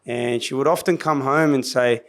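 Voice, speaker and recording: Squeaky-voiced Australian man telling stories. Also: moderate pace. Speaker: podcast guest, business founder. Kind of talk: casual storytelling interview.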